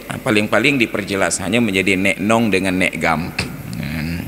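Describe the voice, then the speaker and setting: A man's voice: deep voice, normal speed, sharp tone. A man with an Acehnese accent giving an informal Islamic lecture, conversational, asking rhetorical questions and illustrating with everyday examples. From Aceh, Indonesia. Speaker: Islamic teacher giving a mosque study talk. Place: large reverberant mosque interior. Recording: echoey hall with background noise.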